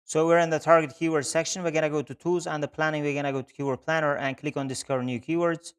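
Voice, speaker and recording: A man's voice: fairly high pitch, level tone, fast talker, clean tone. A man who speaks as a narrates a screen-share tutorial as a specialist presenter, explaining methodically step by step.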